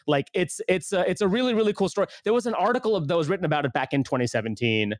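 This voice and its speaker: A man's voice: high voice, expressive, very fast, dry recording, studio quality. A man hosting a casual podcast, chatting loosely with plenty of fillers and restarts. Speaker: podcast host.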